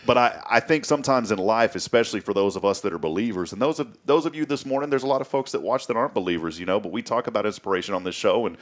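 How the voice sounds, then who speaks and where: A man's voice: fairly low pitch, racing, few pauses. A man hosting a morning talk show in a studio, chatting in a rambling way that often repeats words. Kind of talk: casual chat.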